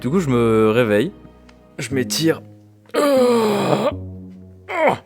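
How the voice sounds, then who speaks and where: A man's voice: a little high, wildly swooping pitch, slow pace, faint music behind. A man playing in a tabletop game, playful and joking as he describes his character. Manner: playful, laughing. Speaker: player. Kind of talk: casual tabletop roleplay.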